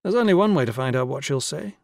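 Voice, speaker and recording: A man's voice: fairly high pitch, lively intonation, fast, gravelly, a little mellow, dry recording, studio quality. A man voicing an irritable, dryly sardonic adult man in a story, curt and firm. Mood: brisk, amused disdain.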